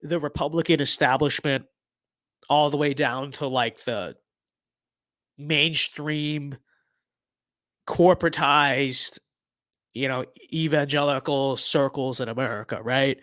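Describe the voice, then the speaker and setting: A man's voice: mid-range voice, fairly even intonation, slow, long pauses, a bit bright, dry recording. A young man hosting a casual livestream, losing his voice, rambling with lots of fillers and restarts. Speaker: livestream host.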